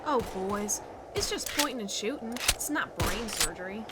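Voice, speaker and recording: A woman's voice: mid-range voice, expressive, moderate pace, close to an everyday voice. A woman playing a breezy, teasing sharpshooter in a comic dub. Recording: loud gunfire noise behind.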